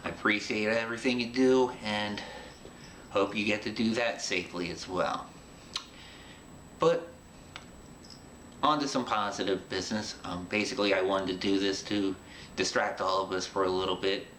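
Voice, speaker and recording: A man's voice: on the low side, fairly even intonation, normal speed, pauses often, fairly bright tone. A man hosting an interview show, talking in a chatty, informal way. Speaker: host. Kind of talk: podcast interview and show introduction. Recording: roomy echo with clear background noise.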